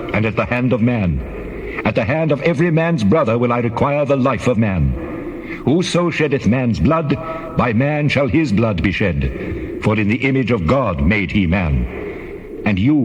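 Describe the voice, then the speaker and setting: A man voicing God in a dramatized scripture reading, solemn and commanding, making measured, declamatory decrees. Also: medium pitch, natural voice. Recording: cavernous echo with long reverb.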